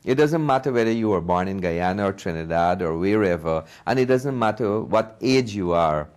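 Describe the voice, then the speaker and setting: Low-voiced man giving earnest interview answers. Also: animated, normal speed, a little mellow. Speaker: filmmaker being interviewed. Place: TV studio.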